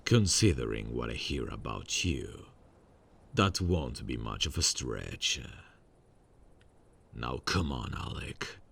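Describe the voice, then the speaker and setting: A man voicing a soft-spoken male serial killer in an ASMR audio drama, calm and quietly menacing, often close to a whisper. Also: slow pace, pauses often, exaggerated voice. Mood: cold amused. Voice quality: gravelly, husky low voice. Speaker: voice actor.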